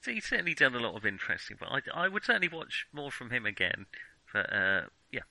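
A man's voice: medium pitch, big pitch swings, quick pace, gravelly, bright, forceful tone, no echo, low-quality recording. A man co-hosting a film podcast, chatting casually with jokey, wry asides. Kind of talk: casual chat.

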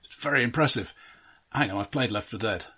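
A man co-hosting a podcast, casually discussing films with wry, measured and dryly critical remarks. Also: mid-range voice, pushed and bright, breathy edge. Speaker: podcast co-host.

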